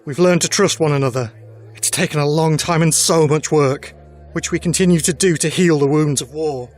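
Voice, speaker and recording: Man playing a humble soldier, raised voice pitch. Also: moderate pace, faint music behind, close to an everyday voice. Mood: solemn, rousing. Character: Debo, humble male soldier turned rousing leader. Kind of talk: fan audio drama acting, speechmaking.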